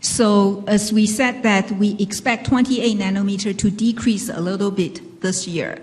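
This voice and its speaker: A woman's voice: medium pitch, steady tone, measured pace, darkish tone. Woman moderating in a reverberant hall. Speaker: conference call moderator. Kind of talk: restating and fielding questions on an earnings call.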